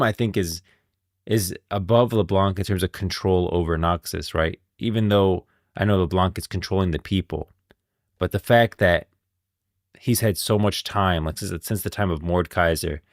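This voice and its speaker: A man's voice: sits low, no echo, studio quality. A man streaming casual commentary on game lore, thinking aloud in a rambling way and often repeating himself. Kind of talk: casual live reaction commentary.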